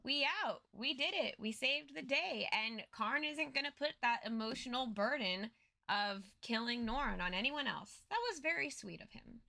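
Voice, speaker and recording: A woman's voice: a little high, expressive, normal speed, gravelly, pushed and bright. A woman co-host giving casual, chatty commentary on a fantasy story recap.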